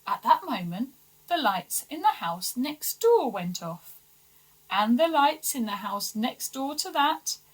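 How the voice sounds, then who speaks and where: A woman narrates a children's story in a fairly high, very expressive voice. She takes her time, placing deliberate emphasis on phrases for dramatic effect. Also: rough recording. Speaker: story reader for schoolchildren.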